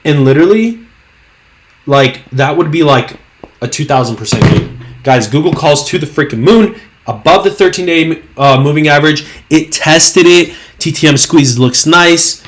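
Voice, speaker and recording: Hoarse, hyped young trading host. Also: male voice, a little high, lively intonation, normal speed, slight background noise. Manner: hyped, slangy, rambling with fillers. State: hoarse, losing his voice. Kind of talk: casual screen-share market commentary.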